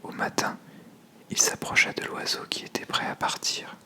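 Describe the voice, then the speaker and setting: A storyteller narrating a fable in a hushed, measured ASMR whisper.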